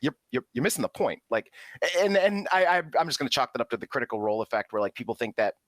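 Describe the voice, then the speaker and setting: An animated man on a gaming podcast whose pitch swings widely as he talks. He swears casually and tumbles through restarts. The recording is close and dry. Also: rapid-fire, dry recording. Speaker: podcast co-host. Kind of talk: casual chat.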